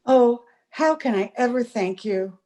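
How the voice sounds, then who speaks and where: Casting: actress as cheerful new wife, soft-toned and admiring, old-time radio-play delivery. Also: female voice, fairly high pitch, animated, a little slow. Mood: pleased, grateful. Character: cheerful, grateful young bride.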